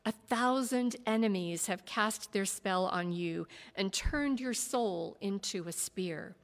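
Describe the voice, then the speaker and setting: A woman voicing a calm male angel who speaks softly and slowly, heard in a reverberant hall. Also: medium pitch. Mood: gentle. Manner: soft, quiet, slow. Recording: long reverb of a big hall.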